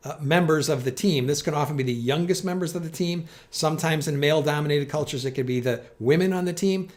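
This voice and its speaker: A middle-aged man presenting practical tips in an organized, plain-spoken explainer style, stressing his key points. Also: a little high. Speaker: presenter giving communication tips. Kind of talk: instructional explainer to camera.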